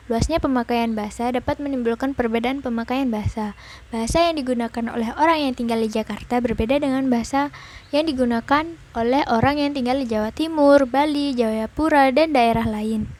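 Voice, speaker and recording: A young woman student reads her part of a class presentation, laying out definitions and examples one by one. Her voice sits a little high, and her delivery stays even and explanatory. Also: a little hiss or hum. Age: young adult.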